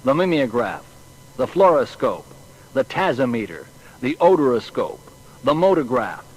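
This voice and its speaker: An actor playing a proud banquet orator in a dramatised audio biography, speaking in a declamatory, stately manner. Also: male voice, medium pitch, big pitch swings, moderate pace, a little mellow, breathy edge, poor audio. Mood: brisk reciting. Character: proud American banquet orator giving a grand toast.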